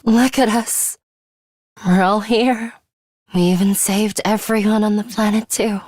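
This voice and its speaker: Woman acting a breathy, haughty, slow-speaking young woman. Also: mid-range voice, steady tone, no echo, clean studio sound, natural voice. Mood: proud, softened. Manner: haughty, deliberate, breathy delivery with hushed drops. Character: proud, imperious young woman, fatalistic but secretly caring. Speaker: video game voice actress. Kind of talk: dramatic video game voice acting.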